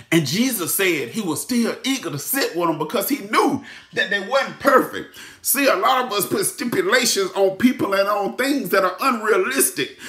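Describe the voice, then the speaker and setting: Emphatic preacher. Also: male voice, sits high. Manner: emphatic, repeats phrases, sudden shouts and hushed asides. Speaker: pastor. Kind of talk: sermon. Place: room at home with ceiling lights. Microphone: phone camera at arm's length. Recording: echoey room.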